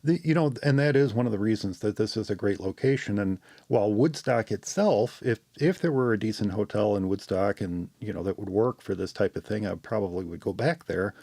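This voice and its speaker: A man hosting a podcast, talking in a rambling, relaxed, explaining way into a close microphone. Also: lowish voice, fairly quick, few pauses, no echo. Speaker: podcast host. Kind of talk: casual podcast chat.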